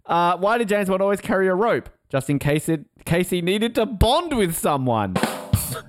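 A man's voice: high voice, very animated, moderate pace, over music. A man co-hosting a podcast, bantering casually and reading things out to his friends. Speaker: podcast co-host.

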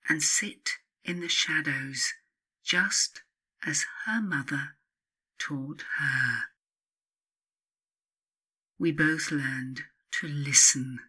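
A woman narrates her own self-help audiobook slowly, with a breathy, husky edge and a bright, forward tone. She is measured and instructive, setting off key phrases with emphatic pauses. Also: low voice. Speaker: author reading own book.

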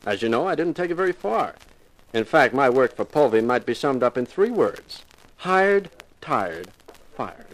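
An actor playing a sardonic, flirtatious hard-boiled private eye in 1950s radio drama style. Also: male voice, mid-range voice, big pitch swings, normal speed, natural voice, rough recording. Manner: sardonic, flippant.

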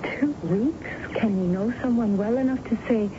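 A woman's voice: a little high, moderate pace, close to an everyday voice. An actress playing a wistful, hesitant young woman in an earnest radio drama style. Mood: uneasy, earnest. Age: young adult. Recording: muffled, noisy old radio broadcast.

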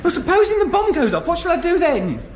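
Whiny naive english teen lad, high voice, super swoopy, eager and worried, old radio sitcom. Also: male voice, very animated, fast talker, a little hiss or hum. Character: naive, whiny, anxious teenage lad, male. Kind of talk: vintage British radio sitcom acting.

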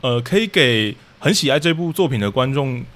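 A man's voice: mid-range voice, animated, moderate pace, a bit bright. A man with a Taiwanese Mandarin accent, answering casually as a podcast guest and explaining things as he thinks aloud. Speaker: guest, radio drama troupe leader.

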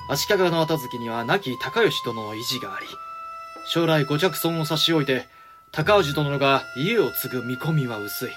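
A man's voice: mid-range voice, natural voice. A man playing a dignified, frank samurai clan head and protective elder brother in a Japanese period audio drama, with stately archaic speech.

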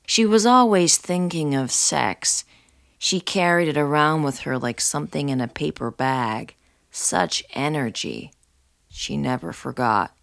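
Woman podcast host, low voice, measured n literary, reading script and poems, close dry mic. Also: gravelly, no room sound. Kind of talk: scripted narration with poetry reading.

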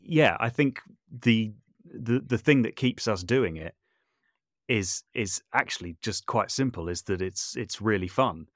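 A man's voice: lowish voice, animated, unhurried, a bit bright, dry recording, studio quality. A man talking casually as an interview guest, thinking aloud and often rephrasing as he goes. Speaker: podcast guest, composer, sound designer and voice artist.

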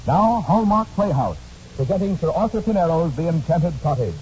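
A man working as announcer, reading scripted 1940s radio introductions and sponsor messages in a polished, measured way. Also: a little high, animated, a little mellow, slight background noise. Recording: muffled old radio broadcast. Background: music.

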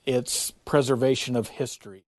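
A man's voice: mid-range voice, steady tone, normal speed, a little mellow, dry recording. A man in his fifties with an American accent, talking casually as an interviewee in a feature. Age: 50s.

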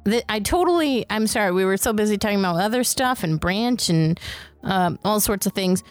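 A woman's voice: medium pitch, lively intonation, fairly quick, no room sound, soft background music. A woman playing in a tabletop role-playing podcast, talking casually, partly in character in her everyday voice. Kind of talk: casual in-character game chat.

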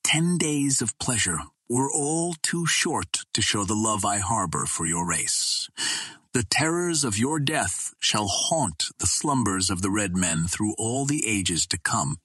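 A man's voice: sits low, fairly bright tone, husky, no room sound, heavily acted. A man voicing a huge, cruel alien warlord in an audiobook, with pompous, menacing, formal speech. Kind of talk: audiobook character reading.

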